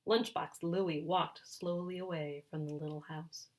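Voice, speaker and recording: Expressive woman reading a children's storybook, lowish voice. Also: measured pace, noisy background. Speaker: children's story reader, speech and language pathologist. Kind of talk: animated storybook read-aloud.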